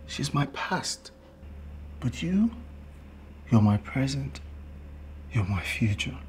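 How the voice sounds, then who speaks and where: A man's voice: mid-range voice, big pitch swings, takes it slowly, a little mellow. Boyfriend trying to soothe his girl, very up and down voice, slight noise, drama. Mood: placating. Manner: placating, coaxing. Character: placating, smooth-talking boyfriend of a furious woman. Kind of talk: screen drama acting.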